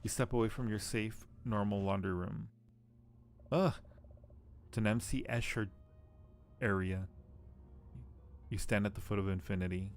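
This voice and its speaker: A man streaming a game, reading the narration aloud and commenting casually in a low-key way as he thinks aloud. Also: fairly even intonation, measured pace, long pauses, slight background noise. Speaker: live streamer playing a game.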